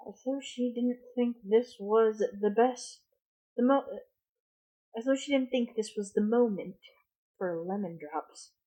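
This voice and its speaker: A woman reading a storybook aloud as an amateur, halting and stumbling over her sentences. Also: on the high side, warm tone. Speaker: amateur book reader. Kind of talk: read-aloud storybook narration. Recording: muffled lo-fi audio.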